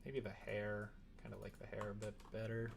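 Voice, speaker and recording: A man's voice: fairly low pitch, monotone, moderate pace, over music. A man chatting casually as a streamer, rambling and thinking aloud while he works through a tutorial. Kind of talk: casual livestream chat and tutorial while working. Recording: clear background noise, keyboard typing.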